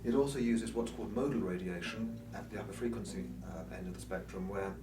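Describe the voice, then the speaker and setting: A man with a fairly low voice gives an informal technical product presentation in a measured, explanatory way. He sounds set back from the microphone in an echoing room, with music playing behind him. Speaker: loudspeaker exhibitor presenting products. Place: room at an audio show. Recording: roomy, distant mic, music behind.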